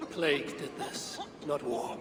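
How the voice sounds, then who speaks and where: Steadfast Norse warrior, higher-pitched. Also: male voice, slow pace, over music, crowd noise. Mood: businesslike. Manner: terse, earnest, grave. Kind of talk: dramatic video game acting.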